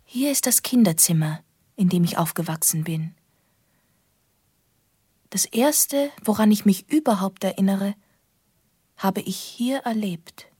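An actress playing a lonely, wistful young woman, narrating a radio drama in a breathy, half-whispered, reflective voice. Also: mid-range voice, animated, unhurried, long pauses, dark tone, no echo, clean studio sound. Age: young adult. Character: lonely, wistful young woman recalling her childhood in a castle. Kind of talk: intimate first-person radio drama narration.

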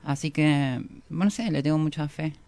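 A woman's voice: sits low, moderate pace, mellow, no room sound. A woman with a Rioplatense accent, a musician guest chatting in slangy, rambling, self-deprecating fashion in a casual radio interview. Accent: Rioplatense Argentine Spanish.

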